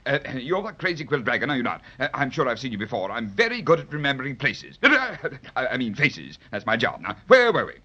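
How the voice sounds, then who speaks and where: An actor playing a pompous, dithering master of ceremonies who stammers and repeats himself in a 1930s children's radio drama. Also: male voice, medium pitch, sing-song, brisk, few pauses, sharp tone. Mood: flustered, fussy. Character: fussy, flustered, self-important male royal master of ceremonies. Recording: muffled 1930s radio recording.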